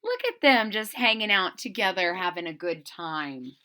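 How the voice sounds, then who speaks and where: A woman reading a children's storybook aloud with expressive, dramatic emphasis and chatty asides to young listeners. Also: on the low side, normal speed, very noisy.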